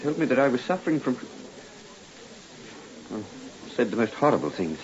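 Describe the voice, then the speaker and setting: An actor in an old-time radio drama playing a meek, anxious middle-aged clerk who speaks hesitantly and deferentially. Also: male voice, mid-range voice, sing-song, normal speed, close to an everyday voice. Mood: glum, rueful. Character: meek, anxious, ailing male ledger clerk. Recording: muffled old radio recording.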